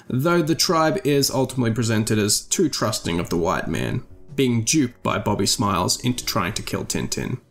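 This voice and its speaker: A man hosts a podcast in a lively, animated way, his pitch rising and falling a lot. He moves from scripted narration to casual remarks on listener comments. Faint music plays under his voice. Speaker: podcast host.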